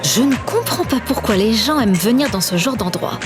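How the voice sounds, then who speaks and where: A woman's voice: a little high, expressive, over music, lightly acted. A woman playing a haughty, impatient investigator in an audio drama, disapproving and disdainful. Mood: disdainful, irritated. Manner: slow, disdainful. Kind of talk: audio fiction acting.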